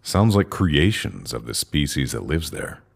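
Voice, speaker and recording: A man playing a knowing, commanding space explorer in a sci-fi audio drama, terse and deliberate. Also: very deep voice, big pitch swings, gravelly, breathy edge, full character voice. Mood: grave, inquiring.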